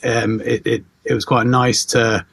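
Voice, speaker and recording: Hesitant, husky man with flat delivery. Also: mid-range voice, no echo. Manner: hesitant, halting, repeats words. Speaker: audio mixer interviewed on a panel. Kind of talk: technical explanation in panel talk.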